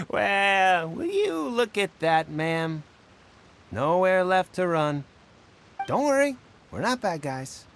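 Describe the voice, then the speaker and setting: A man's voice: high-pitched, sing-song, extremely slow, long pauses, a little hiss or hum, exaggerated voice. A man voicing a cocky, greedy street thug boss in a game, with a smug, wheedling, blustering manner. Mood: smug menace. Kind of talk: animated game voice acting.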